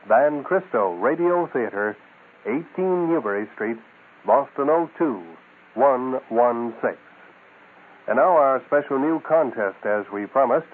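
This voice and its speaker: A man's voice: medium pitch, phone-quality sound, a little hiss or hum. A man hosting a radio show, reading scripted promotional announcements on a thin radio recording.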